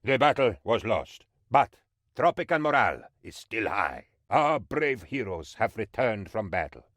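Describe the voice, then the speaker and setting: Comic game role: a fawning, bumbling presidential aide. Very animated delivery, dry close sound. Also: male voice, on the low side, measured pace, no room sound, exaggerated voice. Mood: proud, upbeat. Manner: theatrical, bumbling, eager to please. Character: fawning, excitable male presidential advisor in a tropical banana republic. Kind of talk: theatrical comic video game voice acting.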